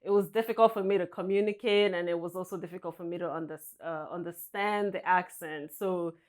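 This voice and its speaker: Soft-spoken low-voiced woman from Nigeria. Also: steady tone, brisk. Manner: soft-spoken, hesitant, laughs often. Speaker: guest, senior vice president. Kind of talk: interview answers.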